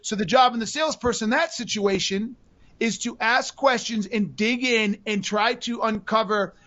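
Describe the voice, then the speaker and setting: Podcast co-host, male, high-pitched, animated explainer, slightly dull recording. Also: moderate pace. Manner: animated, acts out buyer voices in quotes. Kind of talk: casual podcast chat.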